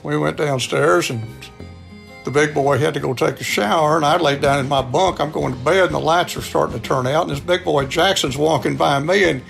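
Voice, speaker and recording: A man's voice: a little high, brisk, creaky, fairly bright tone, faint music behind. An older man with a Texan accent telling a personal story in a folksy way, acting out the dialogue as he goes. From Texas, United States. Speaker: storyteller, radio show contributor.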